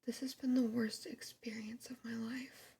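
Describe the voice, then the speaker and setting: A woman whispering the lines of a distraught, frightened young woman influencer, in a breathy voice and a rushed, pleading manner. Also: a little high, flat delivery, darkish tone, heavily acted. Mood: desperate.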